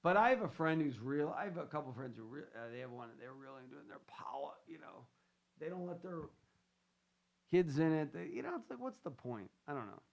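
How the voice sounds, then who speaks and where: A man's voice: on the high side, very animated, brisk, gravelly. A man hosting a financial radio show, chatting loosely and joking with his co-hosts between promos and calls. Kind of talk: casual on-air banter and show promos.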